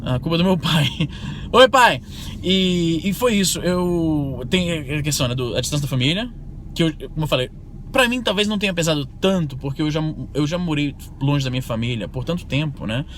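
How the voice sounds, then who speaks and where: A Brazilian man from Fortaleza in his thirties, vlogging casually about emigrating, with a slangy, animated way of talking and frequent swearing. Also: on the high side, a little fast. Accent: neutralized Brazilian Portuguese. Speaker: YouTuber. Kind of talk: casual vlog monologue. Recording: loud street and car noise.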